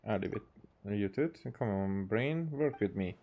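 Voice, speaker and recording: A man from Hungary doing casual gameplay commentary, halting and thinking aloud to himself. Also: lowish voice, lively intonation, dark tone. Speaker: video game streamer.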